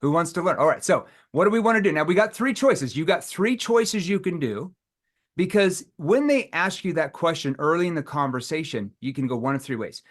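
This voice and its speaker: Middle-aged male sales trainer, animated, role-plays customer lines live. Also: on the high side. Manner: animated, acts out prospect dialogue with rising tone and pauses.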